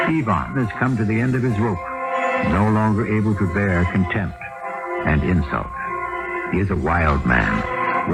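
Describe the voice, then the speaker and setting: Mystery storyteller, very deep, solemn, dramatic, animated intonation, vintage radio narration. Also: male voice, rough recording. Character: grave storytelling host of a mystery series. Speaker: host and narrator. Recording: vintage radio broadcast under loud music.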